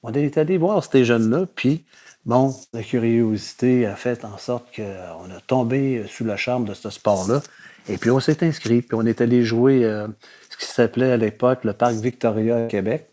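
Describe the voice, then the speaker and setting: An older man from Quebec City with a Québécois accent, answering interview questions in a relaxed way, with many fillers and phrases that trail off. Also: medium pitch, normal speed. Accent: Québécois French. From Quebec City, Canada. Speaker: guest historian.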